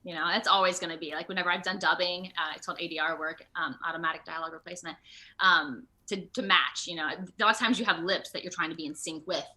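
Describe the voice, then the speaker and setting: Bright-voiced American woman chatting. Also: lowish voice, quick pace. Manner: animated storytelling, laughs often, acts out moments. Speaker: interview guest, actor. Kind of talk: casual interview chat. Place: remote video call.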